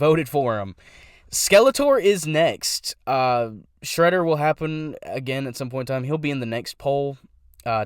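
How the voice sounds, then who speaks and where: A man's voice: medium pitch, no echo, clean studio sound. A young man who does voice impressions, talking casually about his channel in a rambling way, stopping to correct himself.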